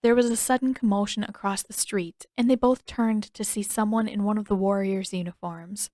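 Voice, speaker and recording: A woman's voice: mid-range voice, fairly even intonation, normal speed, dark tone, no room sound. Woman narrating, close and dry. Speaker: fan fiction narrator. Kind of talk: scripted audiobook narration.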